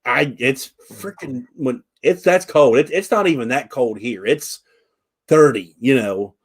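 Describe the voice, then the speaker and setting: A man hosting a livestream, chatting casually in a halting way with frequent restarts and reading out viewer questions. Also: mid-range voice, lively intonation, breathy edge. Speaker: livestream host.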